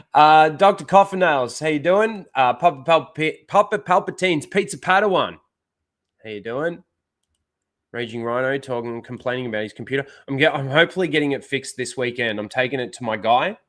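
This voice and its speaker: A man's voice: mid-range voice, lively intonation, normal speed, fairly bright tone. Man rambling on a livestream. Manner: rambling, filler-heavy, reads out chat names. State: blocked nose. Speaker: live stream host. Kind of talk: casual rambling livestream chat.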